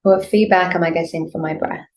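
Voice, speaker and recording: A woman teaching yoga nidra, leading a guided meditation in a gentle, soothing, unhurried way with pauses. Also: lowish voice, fairly even intonation. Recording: clear background noise, room ambience.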